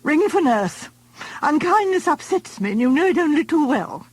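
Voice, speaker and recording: A woman doing a shrill, fretful invalid wife. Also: big pitch swings, normal speed, no room sound, rough recording. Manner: shrill, complaining, dramatic. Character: fretful, self-pitying invalid wife, middle-aged.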